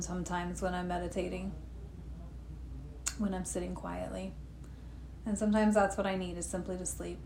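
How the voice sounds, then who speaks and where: A woman guiding a relaxed live meditation session, gently talking, with personal asides, sniffly from allergies. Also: lowish voice, vocal fry. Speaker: meditation guide. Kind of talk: guided meditation talk. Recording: echoey room with faint noise.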